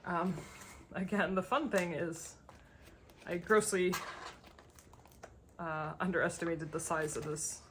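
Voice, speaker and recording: Low-pitched, slightly gravelly woman, casual show-and-tell. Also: steady tone, slow, pauses often, fairly bright tone, slight background noise. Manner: rambling, thinking aloud as she sorts. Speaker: collector giving a binder tour.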